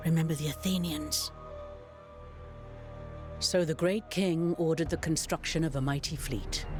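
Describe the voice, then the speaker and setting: Solemn game narrator telling ancient war saga, slightly high voice, theatrical, music behind. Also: male voice. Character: grave storyteller chronicling an ancient war. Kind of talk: scripted dramatic documentary-like narration.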